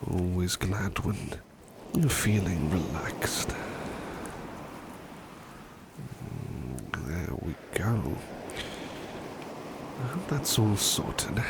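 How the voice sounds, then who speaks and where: A man playing a devoted, polite butler in a soft-spoken ASMR roleplay, gentle and soothing, often dropping into a murmur or whisper. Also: sits low, gravelly, very noisy, exaggerated voice. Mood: soothing, caring. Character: devoted, tender, polite male butler servant.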